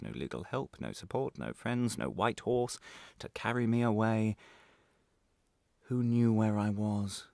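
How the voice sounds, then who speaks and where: An actor playing a weary, bitter prisoner held without trial in a radio monologue, speaking in a halting, intense confessional way. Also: male voice, on the low side, gravelly, darkish tone, dry recording.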